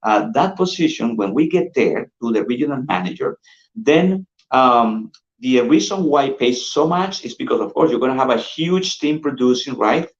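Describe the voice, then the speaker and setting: A man with a Colombian Spanish accent, a sales manager giving an energetic, persuasive pitch and calling his listeners "guys" over and over. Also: moderate pace. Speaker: insurance sales manager presenting. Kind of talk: upbeat sales pitch on a call. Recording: dull roomy lo-fi audio.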